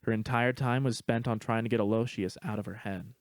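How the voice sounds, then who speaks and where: A man's voice: lowish voice, steady tone, fairly quick, no echo. A man reading a scripted story aloud as its narrator.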